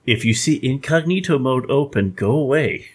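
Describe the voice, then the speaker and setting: A man's voice: medium pitch, lively intonation, normal speed, crowd noise. A man joking around in a casual podcast chat.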